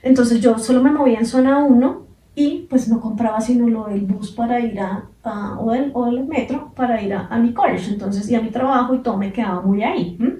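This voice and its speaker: A woman in her forties chats easily on a podcast, sprinkling her speech with fillers. Her voice sits a little high but sounds warm and mellow. She is picked up from a distance in an echoey room. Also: brisk. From Colombia. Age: 40s. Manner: relaxed, many fillers like "pues". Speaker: podcast co-host. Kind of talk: casual conversation.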